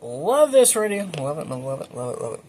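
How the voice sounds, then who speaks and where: A man hosting a casual home show-and-tell video, speaking informally and a little haltingly with enthusiastic asides. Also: a little high, big pitch swings, a little mellow. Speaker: hobbyist video creator and host. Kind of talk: casual show-and-tell narration of a radio collection. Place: indoors at home.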